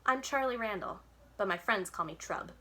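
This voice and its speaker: A woman's voice: medium pitch, lively intonation, moderate pace, a bit bright, natural voice. A woman voicing a big, easygoing old man with a folksy, confident manner in a children's storybook. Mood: jovial. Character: big grinning old man, bushy gray beard, easygoing trickster helper.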